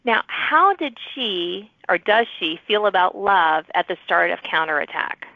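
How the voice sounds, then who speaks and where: A woman's voice: medium pitch, expressive, unhurried, dry recording. A woman hosting a podcast, interviewing guests in a conversational way. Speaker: podcast host interviewing authors. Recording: thin phone-like line.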